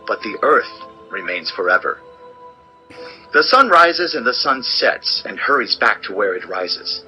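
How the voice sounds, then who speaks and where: A man's voice: mid-range voice, lo-fi sound. An actor playing a grave, world-worn teacher-king in a dramatized audio Bible, declaiming solemnly with theatrical emphasis. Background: background music.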